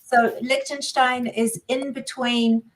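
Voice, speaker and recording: Chatty older New woman, high voice. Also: flat delivery, slight background noise, poor audio. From New Zealand. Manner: halting, many fillers and restarts. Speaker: guest. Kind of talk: casual chat over a livestream call.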